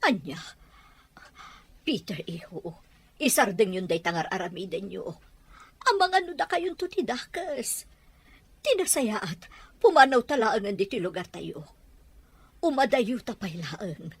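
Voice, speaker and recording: A woman's voice: mid-range voice, expressive, unhurried, pauses often, husky, no room sound. A woman with an Ilocano accent playing an anguished, middle-aged battered wife and fearful, pleading mother in an audio drama. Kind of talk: Ilocano radio-style drama acting.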